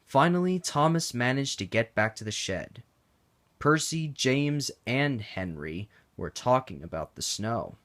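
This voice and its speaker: This is a man reading a children's picture book aloud. His pace is slow and deliberate. His voice rises and falls a lot to keep young listeners engaged. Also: mid-range voice, big pitch swings, fairly bright tone. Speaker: read-aloud narrator. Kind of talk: children's storybook read-aloud.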